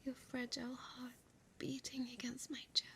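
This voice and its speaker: A woman playing a centuries-old, possessive female vampire in an intimate audio roleplay, speaking tenderly in a breathy, hushed whisper. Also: sits high, monotone, moderate pace, slight background noise. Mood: hungry, enthralled.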